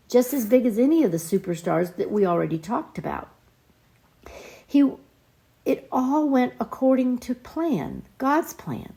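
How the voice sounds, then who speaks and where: A woman teaching an informal Bible study lesson, explaining and reading scripture in a deliberate, halting manner. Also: on the high side, creaky, warm tone, slightly rough, rough recording.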